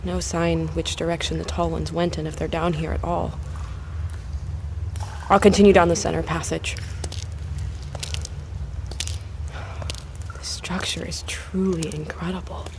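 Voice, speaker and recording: An actress playing a cautious, curious woman explorer recording a field log in an audio drama, speaking in a measured, observational way. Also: lowish voice, little pitch movement, noisy background, natural voice. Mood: hushed, awed. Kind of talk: found-footage audio drama acting.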